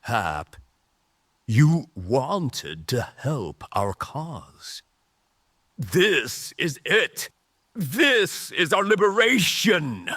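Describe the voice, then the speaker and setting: A man playing a fatherly but menacing rebel mage leader in a dramatic audio drama, speaking slowly and emphatically. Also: fairly high pitch, sing-song, pushed and bright, no room sound, studio quality, voice pushed up, heavily acted. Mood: solemn urging. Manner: slow, deliberate, emphatic delivery.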